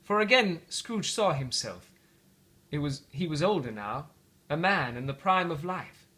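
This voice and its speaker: A man narrating a story aloud in a theatrical, expressive read-aloud style. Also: fairly high pitch, unhurried. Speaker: storybook reader.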